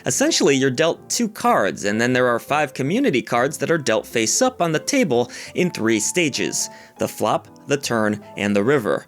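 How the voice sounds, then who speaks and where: A man's voice: mid-range voice, very animated, normal speed, hardly any pauses. A man reading a scripted video game review as its narrator, over background music.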